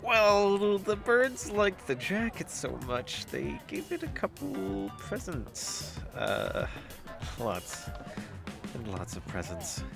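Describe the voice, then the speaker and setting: A woman's voice: medium pitch, sing-song, slow pace, vocal fry, music in the background, full character voice. A woman playing an exasperated, sheepish, sceptical space-crew member in an audio drama, with a hesitant delivery.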